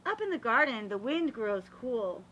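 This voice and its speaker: A woman reading a picture book aloud to young children, animated and expressive, pausing to explain and ask questions. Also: on the high side, dull audio. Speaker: read-aloud storyteller for children.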